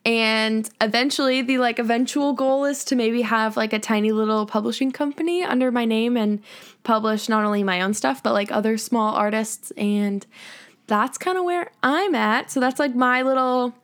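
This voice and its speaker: A bubbly woman of twenty talks in a relaxed, giggly way. Her voice is smooth and clear and sits a little high. She chats easily, laughing often. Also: normal speed. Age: 20s. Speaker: podcast co-host, illustrator. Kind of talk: casual chat.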